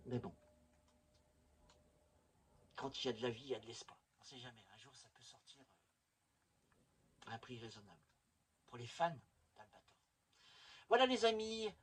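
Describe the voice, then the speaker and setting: A man's voice: medium pitch, expressive, normal speed, long pauses. A man chatting casually and enthusiastically as he hosts a rambling home video about his collection. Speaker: host of a collectors' video channel. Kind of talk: casual vlog chat showing a collection. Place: indoors at home. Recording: noisy home recording.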